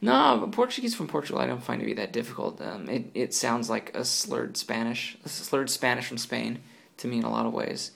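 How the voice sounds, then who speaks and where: Gravelly guy chatting casually, lots of ums and restarts. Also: medium pitch, lively intonation, fairly quick, fairly bright tone. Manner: hesitant, many ums and restarts. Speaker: language learner guest. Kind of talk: casual conversation.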